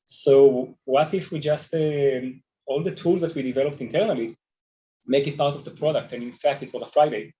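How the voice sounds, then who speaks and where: A man from Israel with an Israeli accent, telling stories conversationally as an animated panel guest. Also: medium pitch, normal speed, lo-fi sound. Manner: animated talker, moves a lot while speaking. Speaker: panel guest, travel technology company leader. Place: remote video call on camera.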